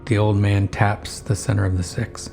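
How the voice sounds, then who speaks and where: Deep guy reading a creepypasta, flat and somber, faint music under it. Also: normal speed, vocal fry. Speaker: narrator and writer of horror story. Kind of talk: first-person scripted horror narration.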